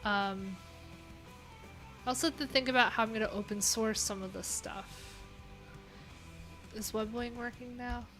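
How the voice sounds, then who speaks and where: A woman's voice: on the high side, steady tone, a little slow, pauses often, a bit bright, soft background music. A woman chatting casually on her own livestream, rambling with many fillers and restarts. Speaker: live coding streamer.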